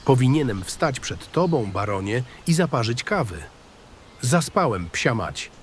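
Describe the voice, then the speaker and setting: A man acting out a good-natured country writer in a fantasy audio play. He speaks with a lively, swinging intonation at a middle pitch, folksy and apologetically polite. Faint outdoor ambience runs behind him. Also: unhurried, a little hiss or hum. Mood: apologetic. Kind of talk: fantasy audio drama dialogue.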